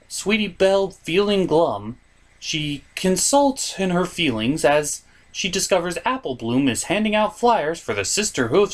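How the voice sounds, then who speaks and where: A man with a slightly high, lively voice delivers a scripted comic review. His pitch moves around a lot and his manner is sarcastic. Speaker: reviewer, host. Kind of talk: scripted comedic episode review.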